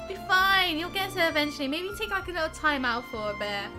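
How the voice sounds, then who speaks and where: A chatty, giggly, expressive woman live streaming a game in casual chat. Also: very high-pitched, moderate pace. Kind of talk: casual livestream chat with reactions and read-along comments. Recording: game music behind the voice. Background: game music.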